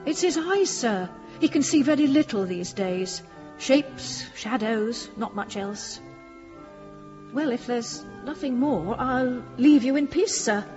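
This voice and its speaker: Pious housekeeper, flustered politeness, very lively swoops, period radio drama, reverberant church, faint music. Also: female voice, a little high, slow pace. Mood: chatty, deferential. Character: fussy, deferential, pious English church housekeeper. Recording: reverberant church, slightly dull sound.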